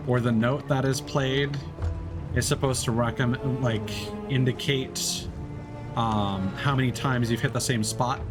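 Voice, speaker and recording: A man streaming a game, chatting casually and explaining as he plays, with lots of fillers and restarts. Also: medium pitch. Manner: rambling, many fillers and restarts. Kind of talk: casual gameplay commentary.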